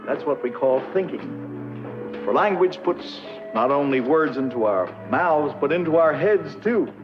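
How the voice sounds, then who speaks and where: An older man playing a patient, kindly professor, lecturing deliberately and reassuringly in a 1950s educational film. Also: a little high, lively intonation. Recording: dull vintage film soundtrack, music behind.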